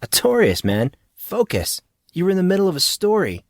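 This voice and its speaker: Man as easygoing teen boy hero for an audiobook, casual and inquisitive, higher register, dry sound. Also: wildly swooping pitch, normal speed, dry recording, full character voice. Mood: curious, prodding. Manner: casual, questioning.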